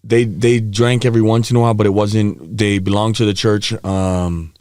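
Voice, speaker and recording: A man around thirty who grew up in Hawaii, a podcast guest telling stories from his life in a relaxed way, laughing often and getting animated as the story builds. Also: fairly low pitch, moderate pace, smooth, no room sound. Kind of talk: candid storytelling interview. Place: podcast studio. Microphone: studio mic.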